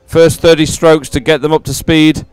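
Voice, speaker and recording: Clear-voiced man commentating live sport. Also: a little high, level tone, moderate pace, dry recording, soft background music, poor audio.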